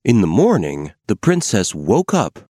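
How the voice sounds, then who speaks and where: A man narrating a children's fairy tale as an expressive, scripted storyteller. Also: fairly low pitch, measured pace, no room sound, studio quality.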